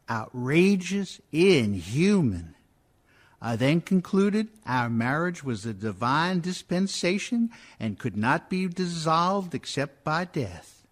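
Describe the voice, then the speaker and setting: A man playing a dead husband who wryly and wearily recounts his marriage in a theatrical radio-play monologue. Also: a little high.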